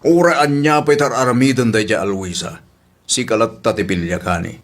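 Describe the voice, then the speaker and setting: A man's voice: mid-range voice, moderate pace, close to an everyday voice. A man playing a faithful, uneasy husband and employer in a domestic drama, earnest and complaining. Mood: uneasy complaint. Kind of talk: domestic audio drama acting.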